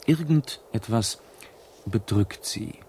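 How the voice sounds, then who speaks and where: Whimsical lord in his thirties, lowish voice, languid drawl, breezily commanding, radio play, faint noise behind. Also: expressive, slow, darkish tone. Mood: airy, curious. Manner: languid upper-class drawl, airy, polite but imperious. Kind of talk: 1970s German radio drama acting.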